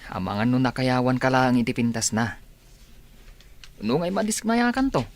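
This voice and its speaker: A man's voice: medium pitch, sing-song, moderate pace. A man playing a frank, cautioning village friend in radio drama, with an Ilocano accent. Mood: frank, advising.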